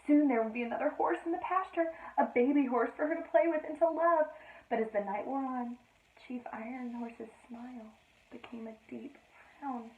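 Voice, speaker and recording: A woman reading a children's story aloud in an expressive teacherly style, with playful asides to her listeners. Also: high voice, moderate pace. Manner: addresses listeners with playful questions, dramatic emphasis. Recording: roomy, echoey, narrowband sound.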